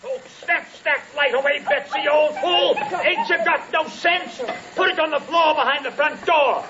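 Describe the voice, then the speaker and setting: An actor playing a stern, wary Southern patriarch of about sixty in an old radio drama, with commanding, folksy country speech. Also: male voice, very high voice, moderate pace, a loud crowd. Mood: stern, suspicious. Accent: American Southern. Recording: dull, hissy old radio broadcast.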